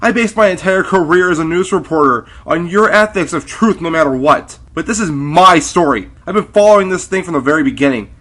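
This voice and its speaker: A man's voice: on the high side, expressive, a little fast, hardly any pauses, a bit bright. A man voicing an eager, earnest young pony news reporter in an amateur radio play. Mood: hurt, insistent. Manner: earnest, emphatic. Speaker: voice actor in an audio drama. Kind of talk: fan radio play acting.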